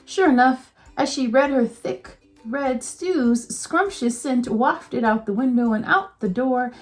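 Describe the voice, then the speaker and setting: A woman teacher reading a picture book aloud to children, slow and expressive. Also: high-pitched, soft background music.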